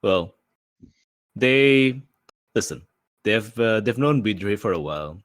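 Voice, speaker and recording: A man's voice: on the low side, lively intonation, slow pace, a bit bright, no echo. A man chatting casually as a player in a tabletop game.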